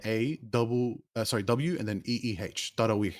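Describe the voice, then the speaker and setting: A young man chatting casually on a stream, animated and quick to laugh. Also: fairly low pitch, no echo. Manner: animated, laughs often, says bro a lot. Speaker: streamer guest. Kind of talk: casual chat.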